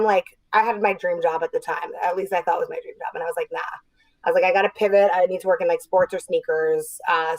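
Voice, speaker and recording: A woman's voice: mid-range voice, dry recording, rough recording. A young woman from New Jersey, a podcast guest chatting casually and upbeat, acting out little quotes as she talks. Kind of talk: casual chat.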